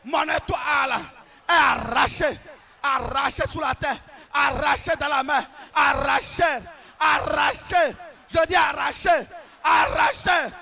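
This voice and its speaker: A man preaching a fervent deliverance prayer, shouting declarations and repeating each phrase many times. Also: very high-pitched, slow pace, vocal fry, some chatter behind. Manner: repetitive declarations, fervent commanding tone.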